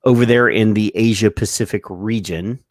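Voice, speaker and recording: A man's voice: fairly low pitch, normal speed, dry recording, clean studio sound. A man hosting a casual podcast, rambling and joking with many restarts. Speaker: show host.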